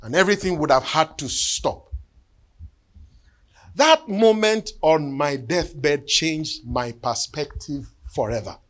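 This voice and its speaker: A man's voice: on the high side, slow pace. Earnest bishop in his fifties. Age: 50s. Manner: earnest storytelling, sinking to hushed tones. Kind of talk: sermon with personal testimony.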